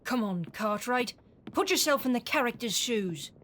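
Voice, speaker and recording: Outspoken, high-voiced street child. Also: female voice, animated, normal speed, fairly bright tone. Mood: excited, cheeky. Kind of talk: scripted audio drama acting.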